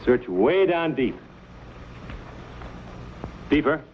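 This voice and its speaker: An actor playing an easygoing, dryly wry frontier adventurer in an old Western drama, speaking with folksy politeness. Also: male voice, a little high, sing-song, drawn-out words, exaggerated voice. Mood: calm, wry authority. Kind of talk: mid-century Western drama acting. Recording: dull, lightly noisy old broadcast recording.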